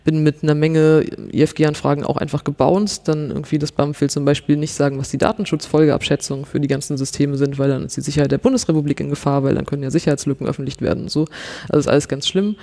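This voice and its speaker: A man speaks in a relaxed, chatty way, filling his sentences with little hedging words. His voice sits a bit high for a man but sounds mellow and warm. He comes across as a wry journalist explaining his work. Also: quick pace, gravelly. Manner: chatty, many fillers like irgendwie and so, wry. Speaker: investigative journalist guest. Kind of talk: casual conversational interview.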